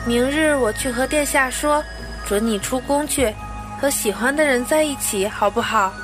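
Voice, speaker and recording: Woman voicing an innocent little boy prince, high-pitched. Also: moderate pace, over music, lightly acted. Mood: earnest, pleading. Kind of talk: Chinese audio drama acting.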